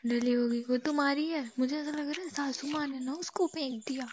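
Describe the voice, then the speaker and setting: A woman's voice: sits high, steady tone, normal speed. A young woman in casual, insistent and questioning talk with her boyfriend on a phone call. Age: young adult. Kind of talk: casual phone chat between a couple. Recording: dull phone call recording with noticeable noise.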